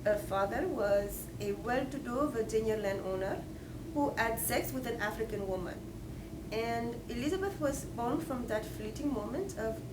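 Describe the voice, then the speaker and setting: Woman reading as articulate woman, higher-pitched, roomy. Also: normal speed, natural voice. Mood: casual recounting. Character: articulate woman casually recounting history. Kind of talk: script table read of docudrama dialogue. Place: room at a table read. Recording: roomy, distant mic, noisy background.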